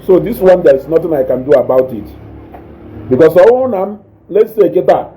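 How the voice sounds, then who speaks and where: Man, Nigerian accent, loud voice, very dark warm tone, faint background hum, casual live-stream chat. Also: fairly high pitch, moderate pace, rough recording. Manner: animated, mixing English with Igbo, eating while talking. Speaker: online show host reading viewer comments.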